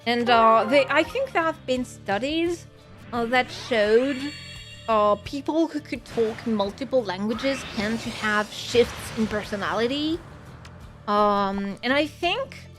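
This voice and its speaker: A woman with a French accent, a native French speaker, chatting casually on a gaming stream in a musing, rambling way and correcting herself as she goes. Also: fairly high pitch. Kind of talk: casual gaming livestream chat.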